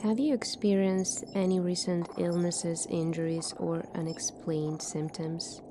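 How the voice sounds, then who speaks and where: A woman in a soft-spoken ASMR roleplay, playing a calm, formal military doctor in a measured, clinical manner. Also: on the low side, background noise.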